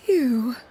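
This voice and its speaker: An actress playing a proud immortal master in a video game, speaking in formal, archaic phrasing. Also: female voice, high voice, mellow, full character voice. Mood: flustered. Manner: formal, archaic, calls herself "one". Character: proud, haughty immortal female master in human form.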